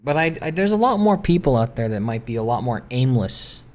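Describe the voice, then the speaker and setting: A man's voice: mid-range voice, very animated, brisk, darkish tone. A man rambling introspectively in a solo gaming commentary, hesitant, often repeating and correcting himself. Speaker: gaming video creator doing commentary. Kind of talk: rambling, introspective solo chat over gameplay.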